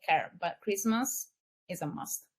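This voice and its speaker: A woman's voice: fairly low pitch, measured pace, slightly rough. A young woman, a model and content creator, chatting easily as an interview guest, laughing often and using lots of fillers. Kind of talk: casual interview chat.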